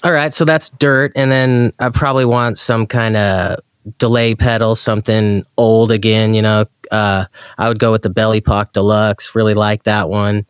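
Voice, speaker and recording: A man's voice: fairly low pitch, steady tone, moderate pace, clear, resonant tone, no room sound. A man speaking as an interview guest about gear he loves, in casual, slangy, laid-back enthusiasm. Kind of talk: casual chat.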